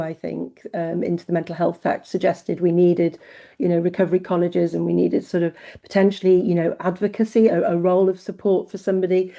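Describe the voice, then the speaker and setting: Woman researcher, webinar Q&A, earnest and halting, low mellow voice, muffled call audio. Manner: earnest, thinking aloud with frequent fillers and restarts. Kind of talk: conversational answer in an online Q&A. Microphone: video call.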